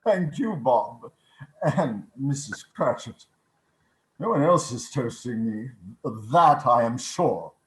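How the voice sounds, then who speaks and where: Echoey, noisy line: actor playing old male miser, high voice, slow, halting, humbled and pleading. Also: expressive. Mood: rueful. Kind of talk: radio play acting, live reading. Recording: noisy, echoey video call with clicks.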